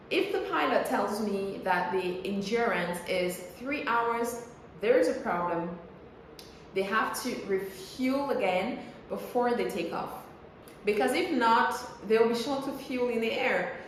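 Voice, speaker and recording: A woman coaching English pronunciation in a conversational, explanatory style, enunciating carefully and posing rhetorical questions like a teacher. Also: mid-range voice. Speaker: English pronunciation coach and air traffic controller. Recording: very echoey room with faint noise.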